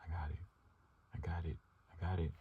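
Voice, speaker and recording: A man whispering the lines of a nervous teenage superhero in a game. He is recorded on a distant mic with loud background noise. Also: low voice, flat delivery, a little fast, narrow, tinny audio, close to an everyday voice.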